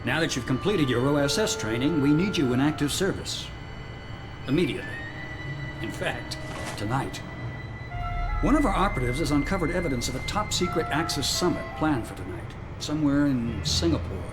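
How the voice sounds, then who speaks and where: A man's voice: a little high, animated, moderate pace, over music, natural voice. Stern American officer played by a man. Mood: stern, urgent. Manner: commanding, clipped, serious. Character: stern wartime American intelligence officer briefing a sergeant. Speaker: commanding officer.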